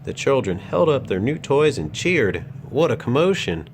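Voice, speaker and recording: A man's voice: medium pitch, big pitch swings, moderate pace, slight background noise. A man reading a children's picture book aloud as a storyteller and host.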